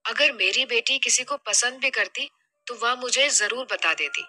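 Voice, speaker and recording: A woman's voice: fairly high pitch, measured pace, bright, forceful tone. A woman voicing an anxious, trusting middle-aged mother in an audio drama, musing worriedly to herself.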